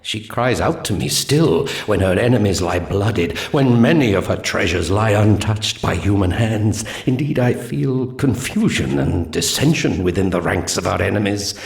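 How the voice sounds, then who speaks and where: An actor playing a solemn, commanding alien seer who briefs his warriors in grave, measured, mystical pronouncements for a 1990s game cutscene. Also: on the low side, animated, gravelly. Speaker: mission briefer. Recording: roomy reverb on the voice.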